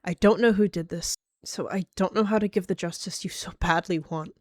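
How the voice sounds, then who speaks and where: A woman's voice: on the low side, steady tone, brisk, a little mellow, no room sound, studio quality, lightly acted. Woman as a composed, dismissive cat clan leader. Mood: firm. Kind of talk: dramatic animal roleplay.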